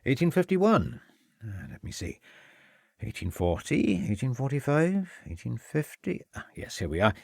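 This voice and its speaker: A man voicing a loud, guarded male headmaster with a Welsh accent. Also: mid-range voice, sing-song, normal speed, gravelly, dry recording, clean studio sound.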